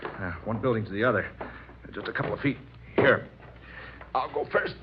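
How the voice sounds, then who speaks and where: An American actor playing a hot-tempered, tough-talking circus killer on the run in an old-time radio drama, curt, slangy and bossy. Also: male voice, medium pitch, normal speed, natural voice. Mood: urgent, coaxing. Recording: muffled, noisy old radio drama recording.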